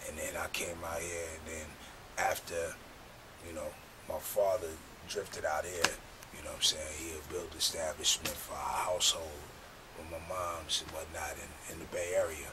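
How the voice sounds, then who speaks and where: American male, very even delivery, conversational interviewee; clearly noisy background. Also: very deep voice, normal speed. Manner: conversational, peppered with tag phrases. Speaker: documentary interviewee. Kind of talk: casual interview talk. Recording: noisy location recording.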